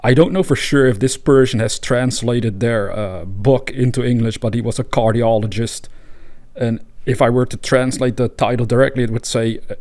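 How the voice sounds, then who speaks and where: Dutch guy talking slow and thoughtful about spiritual stuff, mid pitch, lots of uhs. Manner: calm, reflective, with frequent pauses and fillers. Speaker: podcast host. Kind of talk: spiritual monologue.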